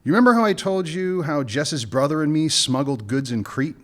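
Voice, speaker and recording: A man's voice: fairly high pitch, lively intonation, a little fast, lightly acted. A man playing a wry, bargaining gun runner. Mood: scheming. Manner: wry, bargaining, dry jokes. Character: smooth-talking male gun runner. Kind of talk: radio play acting.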